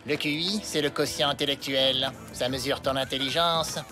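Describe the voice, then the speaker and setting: A man's voice: medium pitch, fairly even intonation, normal speed, music in the background, natural voice. A man playing a brainy, smug, bookish schoolboy in a cartoon, speaking precisely and politely, like a pedant.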